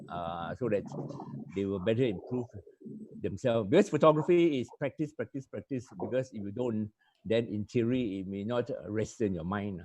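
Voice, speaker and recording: A Malaysian man speaking politely and haltingly in an online meeting discussion, as a club member addressing the speaker. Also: medium pitch, a little mellow, no room sound, rough recording. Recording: online call with faint background noise.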